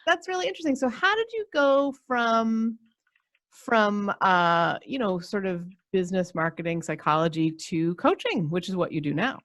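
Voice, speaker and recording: A woman's voice: mid-range voice, expressive, moderate pace, a bit bright, no echo, studio quality. A middle-aged woman hosting a podcast, chatty and enthusiastic, rambling a bit as she interviews her guest. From United States. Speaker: podcast host.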